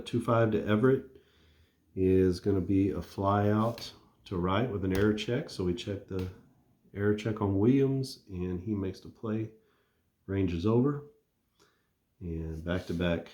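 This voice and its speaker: A man calmly narrating a tabletop baseball replay play by play, thinking aloud as he rolls the dice. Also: low-pitched, measured pace, reverberant. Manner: relaxed and conversational, thinking aloud while rolling.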